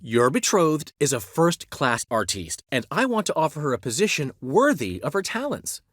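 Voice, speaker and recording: Vain witty bard, middle-aged, theatrical and teasing, pitch swoops all over, dry studio sound. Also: male voice, very animated, normal speed, a bit bright, dry recording. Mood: wry. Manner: theatrical, teasing, showman's flourish. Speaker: game character. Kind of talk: video game voice acting. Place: recording studio. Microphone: close studio mic.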